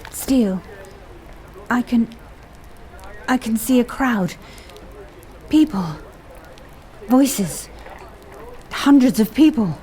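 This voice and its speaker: A woman's voice: fairly high pitch, lively intonation, drawn-out words, pauses often, reverberant, background noise. A woman playing an intent, uncanny investigator who senses disturbances in time, in an audio drama. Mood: entranced. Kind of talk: fan-made audio drama acting.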